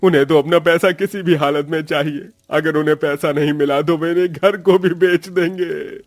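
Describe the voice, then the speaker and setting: A man's voice: a little high, fairly even intonation, normal speed, dry recording, studio quality, close to an everyday voice. A man voicing a poor, despairing farmer in a village story, lamenting as one who is ruined. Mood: despairing, anxious. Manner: wailing, lamenting.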